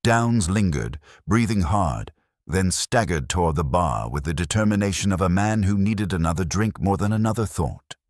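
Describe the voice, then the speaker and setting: A man reading a first-person detective story in a deliberate, dramatic, hardboiled storytelling manner. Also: low voice, expressive, no echo. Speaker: audiobook narrator.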